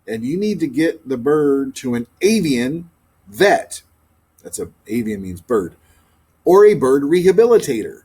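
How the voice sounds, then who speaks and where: Animated man reading aloud to children. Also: medium pitch, husky. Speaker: storybook reader, teacher. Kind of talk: expressive children's read-aloud narration.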